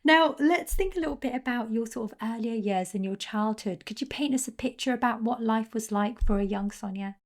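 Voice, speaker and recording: A woman's voice: a little high, brisk, few pauses. A woman hosting a podcast, reading a scripted intro and then chatting with her guest in an effusive, encouraging way. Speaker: podcast host.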